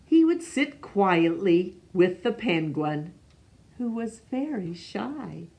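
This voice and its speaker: A woman reading a picture book aloud to young children as a storyteller, expressively and with pauses for questions. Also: medium pitch, big pitch swings, vocal fry, darkish tone.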